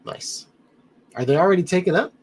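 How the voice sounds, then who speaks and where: A man's voice: sits high, animated, a little fast, slightly rough. A man hosting a casual livestream, rambling in a friendly way and greeting viewers by name, his nose a little blocked up. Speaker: livestream host reading chat. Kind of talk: casual live chat. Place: home studio.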